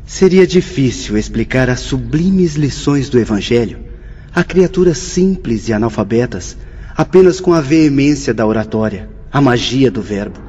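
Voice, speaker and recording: An actor playing a learned, solemn spirit teacher in a radio drama, speaking in a measured, declamatory way. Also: male voice, medium pitch, lively intonation, mellow, a little hiss or hum, close to an everyday voice. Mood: solemn, instructive. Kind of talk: radio drama acting, oratorical. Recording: slightly muffled, music underneath.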